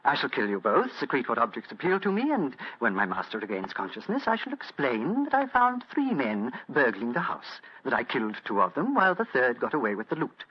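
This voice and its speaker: Husky, menacing English butler. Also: male voice, mid-range voice, huge pitch swings, fairly quick, few pauses. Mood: gloating. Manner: formal, elaborately polite menace. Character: coldly polite, smug English butler turned murderous thief. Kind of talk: 1940s radio mystery acting. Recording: dull, muffled old radio recording.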